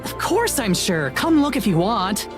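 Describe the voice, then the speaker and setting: A woman voicing an excitable, blunt young woman ghost hunter who gets exasperated and suspicious, in a visual novel. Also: mid-range voice, measured pace, music in the background. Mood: agitated, indignant. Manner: exclamatory, blunt, casual.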